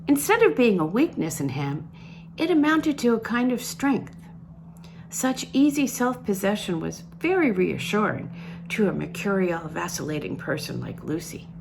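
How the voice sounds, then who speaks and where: A woman's voice: medium pitch, sing-song, moderate pace, vocal fry, noisy background. A woman reading literary fiction aloud as an audiobook, phrasing it expressively and with emphasis. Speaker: audiobook reader.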